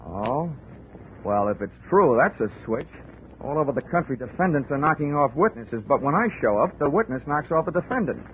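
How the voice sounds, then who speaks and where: A man's voice: fairly high pitch, big pitch swings, normal speed. An actor playing a wry, hard-boiled male insurance investigator who narrates and wisecracks in clipped 1940s radio style. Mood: sardonic. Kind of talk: 1940s radio acting with first-person narration. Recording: scratchy phone-like 1940s radio broadcast.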